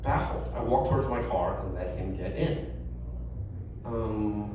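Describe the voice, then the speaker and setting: A man reading a story aloud at a live event in an animated, conversational comic way. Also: deep voice, normal speed. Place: live event venue, roomy indoor space. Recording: echoey room, distant mic, background noise.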